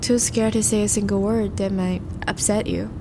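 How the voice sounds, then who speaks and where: A woman as a courteous, lovelorn noble vampire, noisy rumble. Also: fairly even intonation, moderate pace, lightly acted. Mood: anguished longing. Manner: formal, courteous, hesitant, soft-spoken confessions. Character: once-noble, charming, lovelorn female vampire on a date. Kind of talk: intimate romantic audio roleplay addressed to the listener.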